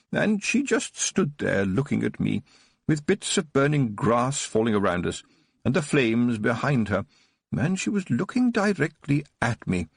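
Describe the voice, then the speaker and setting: A man's voice: mid-range voice, gravelly, slightly rough, dry recording, clean studio sound, exaggerated voice. A man doing a frail, wistful old nobleman. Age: older. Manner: trembling, wistful storytelling.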